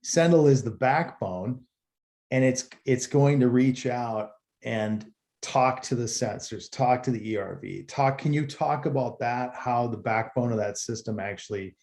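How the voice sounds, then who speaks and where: A man hosts an interview in a loose, casual way, poking fun at himself as he frames questions. His voice has a warm, mellow tone at an ordinary pitch. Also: unhurried. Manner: casual, self-deprecating, loose phrasing. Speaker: interview host. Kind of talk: conversational interview.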